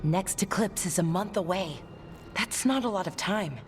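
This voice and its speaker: A woman acting as a sceptical companion in a fantasy game. Her voice is fairly low and serious. Faint ambience runs behind it. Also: normal speed, a little hiss or hum, lightly acted. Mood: sceptical, concerned. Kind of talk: cinematic video game voice acting.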